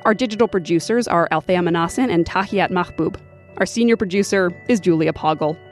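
A woman's voice: lowish voice, brisk. A woman hosting a documentary program, reading scripted credits. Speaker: podcast host. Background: music.

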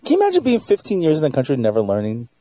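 A male comedian guest on a podcast, joking loudly and laughing a lot in casual chat. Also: fairly high pitch, wildly swooping pitch, very fast, no room sound. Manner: loud, jokey, laughing a lot.

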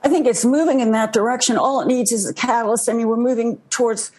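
Strategist guest: woman, slightly high voice, measured and analytical in live market commentary. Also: a little mellow, slightly rough. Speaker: chief equity strategist, TV guest.

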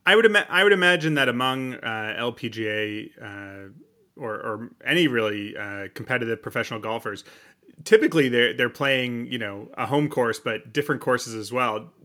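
A man's voice: medium pitch, expressive, moderate pace, bright, forceful tone. A man co-hosting a casual sports podcast, joking and rambling before interviewing a guest. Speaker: podcast co-host.

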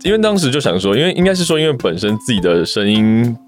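A man with a Taiwanese Mandarin accent and a low, magnetic voice, chatting casually in a hesitant, self-deprecating way and repeating himself. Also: very animated, quick pace. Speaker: podcast co-host and amateur voice actor. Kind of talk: casual chat. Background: music.

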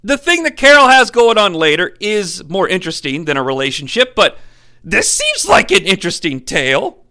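A young man hosting a podcast, giving a sarcastic, animated comedic film review with some swearing. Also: high voice, moderate pace. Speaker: podcast host.

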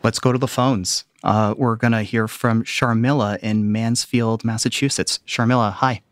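A man's voice: mid-range voice, steady tone, normal speed, no echo. Man hosting a dry call-in show. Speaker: guest radio host. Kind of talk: call-in radio talk.

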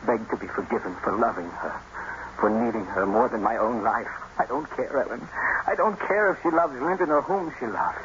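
An actor playing a brooding, tormented young stable hand in love, with intense, theatrical delivery in the style of a 1950s radio drama. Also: male voice, medium pitch, animated, normal speed, close to an everyday voice. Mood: despairing, pleading. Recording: noisy, muffled vintage radio broadcast.

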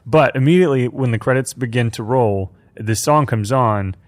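A man's voice: mid-range voice, moderate pace. A man of about forty, an enthusiastic podcast host talking about pop culture. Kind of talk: scripted narration and casual chat about pop culture.

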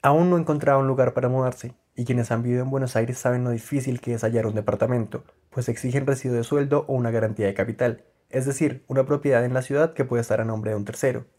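A man hosting a travel podcast, reading scripted narration in a clear, steady way. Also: mid-range voice, fast, hardly any pauses. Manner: clear, steady, read-aloud delivery.

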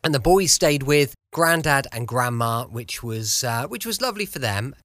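A man's voice: medium pitch, animated, moderate pace, a bit bright, no echo, clean studio sound. A man with a British accent chatting informally as he introduces his podcast. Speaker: podcast host.

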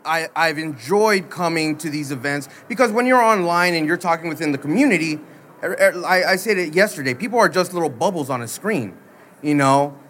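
A man's voice: on the high side, a little fast, slight background noise. Chatty man hosting at a convention. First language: English. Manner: chatty, laughs often, quotes people in animated voices. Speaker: podcast host. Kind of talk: casual interview. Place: busy convention floor.

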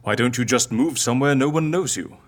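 A woman's voice: extremely low pitch, fairly quick, slightly rough. A woman playing a flustered, curious agency interviewer in an audio drama comedy, questioning fussily and with disbelief. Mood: probing. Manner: incredulous, fussy questioning.

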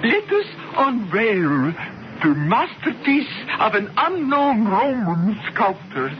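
An actress playing a gracious aristocratic princess in a radio drama, formal and effusive. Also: female voice, a little high, very animated, takes it slowly. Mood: gracious, ceremonial. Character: gracious aristocratic princess making a ceremonial speech. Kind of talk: 1970s radio drama acting. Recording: muffled, noisy old radio broadcast.

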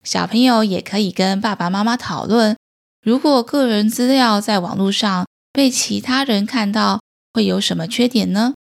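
一位女性儿童播客主持人，面向小朋友说话，讲解清楚易懂。. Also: female voice, medium pitch, normal speed, vocal fry. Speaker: children's podcast host. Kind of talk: addressing young listeners, explanatory.

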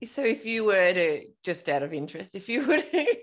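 A woman hosting a running podcast, chatting in a bubbly, animated way and laughing often. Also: mid-range voice, normal speed. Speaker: podcast host. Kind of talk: casual interview chat.

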